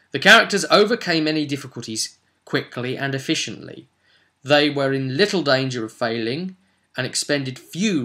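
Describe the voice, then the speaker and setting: A man's voice: medium pitch, bright, forceful tone. A man giving an informal, explanatory tutorial in an emphatic, opinionated, conversational way. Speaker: host of a tabletop role-play tutorial series. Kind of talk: informal explanatory monologue with read-out rules.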